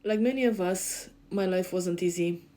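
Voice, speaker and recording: Romanian-accented woman, soft and mellow. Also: on the low side, moderate pace. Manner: hesitant, many fillers and restarts. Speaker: podcast host and life coach. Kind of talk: personal introduction to camera. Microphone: facing camera.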